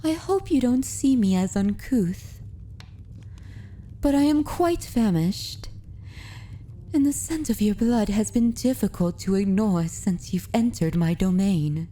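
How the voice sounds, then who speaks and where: A woman playing a dominant, possessive yet tender vampire mistress in an ASMR roleplay, speaking softly and intimately in a formal, courtly manner. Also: mid-range voice, expressive. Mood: pleased, hungry. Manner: slow, deliberate, formal and courtly. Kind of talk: ASMR roleplay, soft intimate delivery with whispers.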